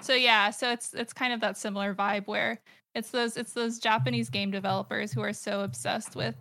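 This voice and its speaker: Gravelly, bright American woman. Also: medium pitch, little pitch movement, moderate pace, no echo. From United States. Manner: hesitant, many fillers and restarts. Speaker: podcast guest. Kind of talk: casual chat.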